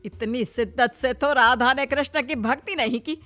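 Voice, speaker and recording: A woman playing a scolding, sarcastic older mother-in-law in an animated comedy story, with exaggerated delivery. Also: sits high, moderate pace, faint music behind. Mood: disgusted, sarcastic.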